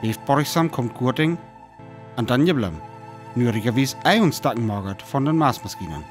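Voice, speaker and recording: Man acting a weary lone survivor in a radio play, north frisian accent, sparse dramatic telling, mid pitch, bit of music under. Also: animated, normal speed, lightly acted. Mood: weary, wary. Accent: North Frisian (Föhr). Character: weary, lone male survivor of an alien invasion.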